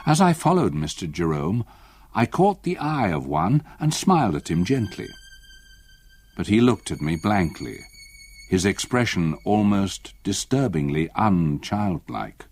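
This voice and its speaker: An older actor playing an elderly retired solicitor, gravely narrating a haunting memory in a husky voice for radio drama. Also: male voice, low voice, big pitch swings, unhurried, a little mellow, soft background music, exaggerated voice. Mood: hushed, uneasy.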